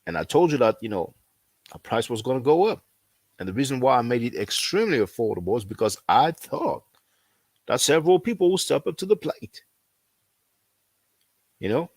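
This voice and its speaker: A man at a middle pitch speaks English as a second language and talks to his viewers in a loose, chatty way. He circles back on his phrases and leans on fillers. The sound is dry and close, with almost no room around him. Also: sing-song, normal speed, pauses often, no room sound. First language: not English. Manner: repetitive, conversational, frequent fillers. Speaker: language teacher. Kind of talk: casual promotional talk.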